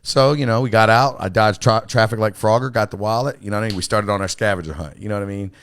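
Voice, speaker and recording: Low-voiced man swearing through casual talk. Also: fast, fairly bright tone. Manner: swears a lot, fillers like "you know what I mean". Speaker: podcast host. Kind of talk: casual profane chat. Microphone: studio mic.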